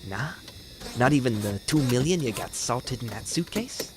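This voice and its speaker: A man acting a cynical smuggler pilot in a 1940s radio thriller. He talks in insolent, sneering slang at a middling pitch, over a faint engine rumble. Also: lively intonation, moderate pace, natural voice. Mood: taunting. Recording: faint vehicle rumble behind the voice.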